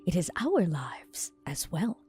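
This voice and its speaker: A woman's voice: on the low side, sing-song, slow, dark tone, soft background music. A woman playing a cold, commanding vampire. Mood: haughty. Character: haughty, brisk female vampire.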